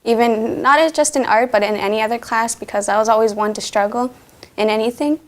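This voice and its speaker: A woman's voice: fairly high pitch, steady tone, a little fast. A woman student speaking plainly and reflectively in a documentary interview, with some fillers.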